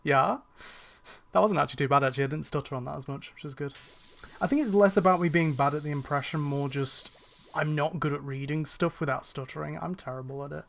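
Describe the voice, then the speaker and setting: Young man, gravelly, slightly high voice, rambling casual stream chat, tired. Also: fast. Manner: rambling and chatty, with many fillers and restarts, laughs at himself. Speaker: gaming streamer. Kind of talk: casual chat while playing a game on a live stream.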